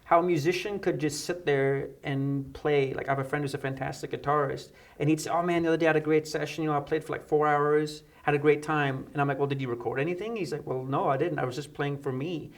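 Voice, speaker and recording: Fast-talking, higher-pitched middle-aged man. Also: fairly even intonation. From United Kingdom. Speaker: interview guest, electronic musician. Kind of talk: conversational interview, anecdotes. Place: dark indoor studio set, seated.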